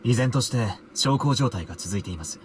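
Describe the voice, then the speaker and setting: A man's voice: mid-range voice, lively intonation, racing, slight background noise, natural voice. A man playing a polite, composed character who speaks formally in a Japanese video game.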